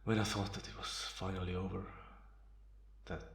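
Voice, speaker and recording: A man playing a bitter, guarded, centuries-old vampire in a slow ASMR roleplay monologue with measured pauses. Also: sits low, level tone, gravelly. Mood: haunted, bitter. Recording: roomy, echoing sound.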